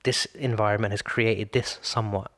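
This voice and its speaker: A man's voice: fairly low pitch, fairly even intonation, moderate pace, a bit bright. A man in his thirties thinking aloud in a casual conversation, restarting sentences as he works through his ideas. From Britain. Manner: reflective, thinks aloud with many restarts and fillers. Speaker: podcast co-host.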